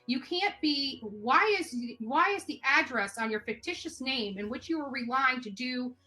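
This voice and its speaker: A woman's voice: high-pitched, lively intonation, normal speed, sharp tone, soft background music. A woman confronting someone with insistent, accusatory, repetitive questions on a phone call. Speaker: interviewer confronting a man by phone.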